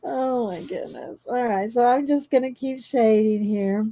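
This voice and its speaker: A woman's voice: on the high side, unhurried, gravelly. Chatty woman with a carrying voice. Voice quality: loud voice that carries. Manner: chatty and rambling, laughs often. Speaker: coloring channel host. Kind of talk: casual chat while coloring on a livestream.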